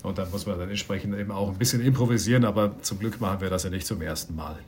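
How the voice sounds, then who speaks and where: A middle-aged man from Austria, a ship captain, chatting in an interview while searching for words with many pauses and restarts. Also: low voice, a little mellow, slight background noise. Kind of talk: casual interview answers.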